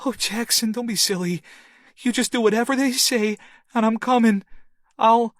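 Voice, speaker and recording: A man's voice: very high-pitched, steady tone, moderate pace, dry recording, clean studio sound, pitched above the performer's own voice. A man voicing a firm, protective woman. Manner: firm, reassuring.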